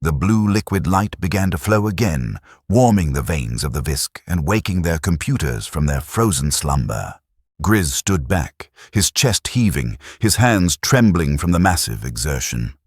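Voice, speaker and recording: Very deep, husky male narrator. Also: sing-song, a little slow, no echo, studio quality. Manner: grave, dramatic storytelling. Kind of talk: scripted audiobook narration.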